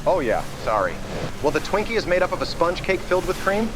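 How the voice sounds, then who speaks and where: Man playing an eager, naive, higher-voiced ghost hunter. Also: big pitch swings, quick pace. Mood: earnest. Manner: earnest, guileless. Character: earnest, eager, naive male ghost hunter, childlike enthusiast. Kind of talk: motion comic voice acting. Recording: clear background noise and ambience.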